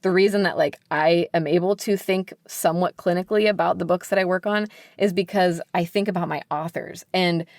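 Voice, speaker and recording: A woman's voice: on the low side, fairly even intonation, fairly quick, few pauses, darkish tone, no room sound, studio quality. An American woman speaking candidly and emphatically as an editor being interviewed on a podcast, peppering her talk with "like". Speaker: book editor, podcast guest.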